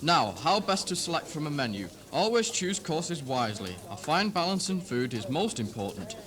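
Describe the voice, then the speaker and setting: A man's voice: a little high, sing-song, moderate pace, fairly bright tone. A man playing an officious, earnest etiquette teacher, pompously precise and instructional, in a comedy radio play. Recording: 1970s hospital radio recording, music behind.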